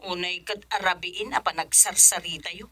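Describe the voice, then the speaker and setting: Bright, suspicious wife. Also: female voice, on the low side, steady tone, a little fast, breathy edge, no room sound. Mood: suspicious, prying. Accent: Filipino. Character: suspicious nagging wife questioning her husband. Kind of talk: radio drama acting.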